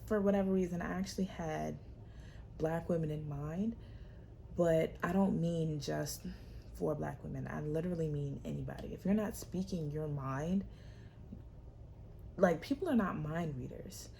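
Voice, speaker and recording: A young woman hosting a solo podcast, talking in a sassy, emphatic way with a loud, projecting voice. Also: low voice, moderate pace, vocal fry, slight background noise. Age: young adult. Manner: animated, sassy, emphatic repetition. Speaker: podcast host. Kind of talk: casual solo podcast talk.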